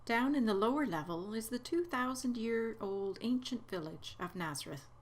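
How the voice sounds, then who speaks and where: A woman narrating her travel video in a scripted, even and informative way. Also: fairly high pitch, normal speed.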